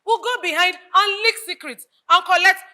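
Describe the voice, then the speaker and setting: A woman's voice: very high-pitched, normal speed, pushed and bright, exaggerated voice. A woman acting on stage as a sceptical, questioning figure with a Nigerian accent, theatrical and challenging in her dialogue.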